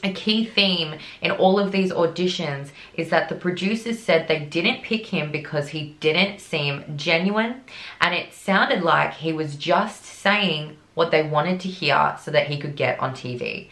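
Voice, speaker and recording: A woman's voice: low-pitched, unhurried, a bit bright, roomy sound. A woman hosting a true crime vlog, narrating casually and giving her opinion in a chatty way. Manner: chatty, opinionated, quotes others in passing.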